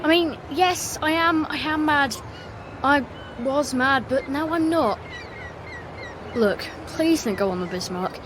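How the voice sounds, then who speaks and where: A woman's voice: sits high, slow, pauses often. A woman voicing a bossy, exasperated young older sibling in a video game, insistent and flustered. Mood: flustered, worried. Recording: clear background noise.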